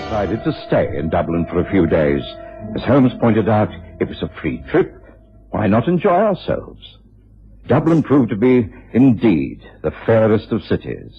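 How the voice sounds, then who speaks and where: An actor playing a genial, well-mannered gentleman companion, narrating a radio drama in measured, courteous storytelling. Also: on the low side, very animated. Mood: pleasant, contented. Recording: dull old radio broadcast, faint music.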